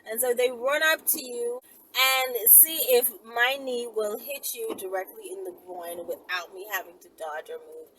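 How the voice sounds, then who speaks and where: A woman's voice: fairly high pitch, moderate pace, bright, forceful tone, a little hiss or hum, poor audio. A woman talking casually in a rambling, conversational solo vlog, thinking aloud with emphatic phrasing. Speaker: vlogger. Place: inside a vehicle in traffic.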